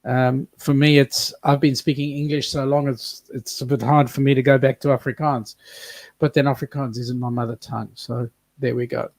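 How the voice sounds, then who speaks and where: A man's voice: medium pitch, level tone, normal speed, a little mellow, dry recording. A man, co-hosting a casual livestream and reading viewer comments aloud. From South Africa. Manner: chatty, reads out viewer comments. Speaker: co-host reading live chat.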